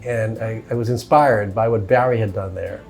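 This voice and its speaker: Gravelly-voiced man telling his career story. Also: on the low side, fairly even intonation, moderate pace, a little mellow, faint music behind. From born in Cuba, raised in Miami. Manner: easygoing, sprinkles "you know" and "uh". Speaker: hotel company executive, interview guest. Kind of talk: relaxed conversational storytelling.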